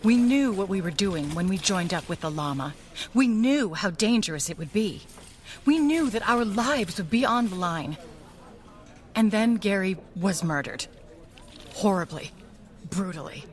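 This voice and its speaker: An actress playing a guarded, world-weary crime fighter in a radio drama, formal, blunt and grave. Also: female voice, medium pitch, lively intonation, a little slow, close to an everyday voice. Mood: grieving, resolute.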